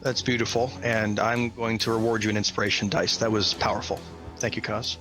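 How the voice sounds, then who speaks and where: A man's voice: fairly low pitch, flat delivery, fairly quick, a bit bright, soft background music, poor audio. A man narrating a tabletop fantasy game as game master, enthusiastic and theatrical.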